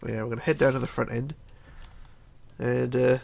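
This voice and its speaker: A man's voice: medium pitch, fairly even intonation, moderate pace, vocal fry, a little mellow. A middle-aged man with a cold talks through a casual game build tutorial at his home computer desk, chatting and counting blocks aloud, often mumbling as he goes. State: hoarse with a cold. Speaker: tutorial host.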